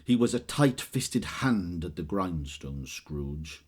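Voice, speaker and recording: A man plays a grave Victorian storyteller with a low, husky voice. The narration is slow and deliberate, leaning on each word with dramatic emphasis. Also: lively intonation, darkish tone, exaggerated voice. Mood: disapproving, relishing.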